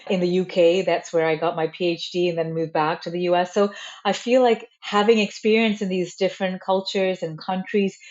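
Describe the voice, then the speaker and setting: Woman psychologist, podcast guest, measured explainer, a touch low, dull sound. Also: little pitch movement, a little mellow. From Malaysia. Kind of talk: podcast interview answers.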